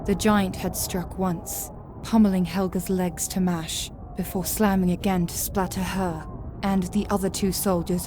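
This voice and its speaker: A woman narrating a scripted grim fantasy story in a solemn, measured, dramatic storytelling style. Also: on the low side, background noise.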